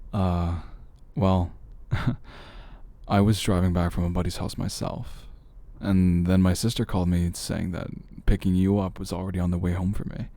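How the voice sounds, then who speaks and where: Man acting as low, gravelly teasing big brother. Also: little pitch movement, mellow, no echo. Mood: teasing, smug. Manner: slow, teasing, chuckling, intimate. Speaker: audio roleplay performer. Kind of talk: ASMR boyfriend roleplay monologue.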